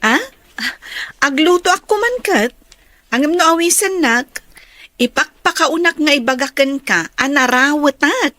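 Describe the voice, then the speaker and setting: Admiring, friendly young working woman, voiced by an actress for Ilocano radio drama; very high voice, dry studio sound. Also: lively intonation, a little slow, pushed and bright, dry recording, full character voice. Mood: playful.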